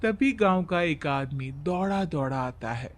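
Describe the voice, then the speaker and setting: Unhurried male storyteller, slightly high voice. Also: expressive. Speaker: story narrator. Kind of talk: scripted Hindi moral-story narration.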